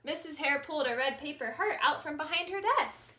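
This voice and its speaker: A woman reading a storybook aloud for children, with chatty, simple asides and wondering out loud. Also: high voice, expressive, a little fast, a crowd in the background. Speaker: storybook reader, teacher.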